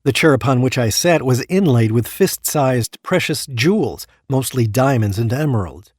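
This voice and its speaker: Man playing a slightly husky, self-important damned man. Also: mid-range voice, lively intonation, normal speed, darkish tone, no room sound, clean studio sound, natural voice. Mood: measured storytelling. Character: Peter Sinclair, ambitious, self-important damned man narrating. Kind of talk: audio drama acting and first-person narration.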